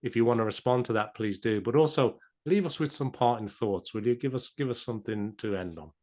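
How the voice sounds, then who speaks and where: A man's voice: medium pitch, brisk, a little mellow. A man hosting a podcast interview, chatty and encouraging, often stammering on a word before he restarts. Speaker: podcast host.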